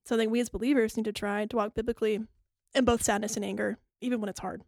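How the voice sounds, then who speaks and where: A woman's voice: on the high side, fairly even intonation, quick pace, creaky, darkish tone, no echo, studio quality. A young woman co-hosting a faith podcast, laughing easily and explaining things step by step. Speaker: podcast co-host. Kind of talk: casual faith podcast teaching.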